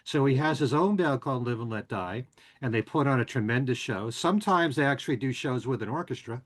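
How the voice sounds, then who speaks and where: A man hosting a podcast, casually running through show news and plugs in a chatty, relaxed way with frequent filler words. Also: mid-range voice, brisk. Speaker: podcast and radio host.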